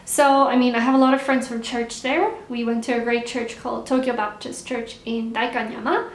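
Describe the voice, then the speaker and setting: Young woman vlogger, chatty and relaxed, kinda high voice, roomy echo. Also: moderate pace. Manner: chatty, relaxed, repeats words for emphasis. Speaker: vlogger answering viewer questions. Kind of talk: casual Q&A chat. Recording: echoey room.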